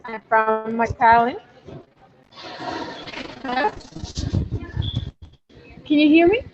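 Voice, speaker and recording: Slow, high woman on a dull noisy call. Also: animated, music in the background. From Texas. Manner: loud, slow, checking if heard. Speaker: contestant.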